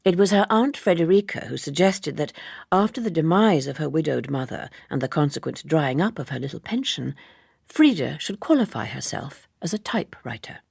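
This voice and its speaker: A woman reading literary narration as an audiobook narrator, in a measured, precise and formal way. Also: low-pitched, very animated, gravelly, darkish tone, husky, no room sound, studio quality.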